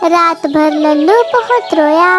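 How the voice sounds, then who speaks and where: A very high-pitched storyteller narrates a children's tale at a slow pace. The reading is scripted, and loud music plays behind it.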